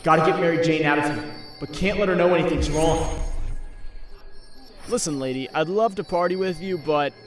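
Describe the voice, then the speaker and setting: An anxious young superhero, played by a male voice actor, boyish and earnest. His voice sits a little high, with dramatic comic dub delivery. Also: normal speed, long pauses, faint music behind, natural voice. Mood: urgent, alarmed.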